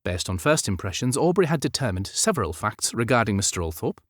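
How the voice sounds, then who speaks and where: A man's voice: on the low side, sing-song, brisk, dry recording, clean studio sound. A man narrating a period romance audiobook as scripted fiction.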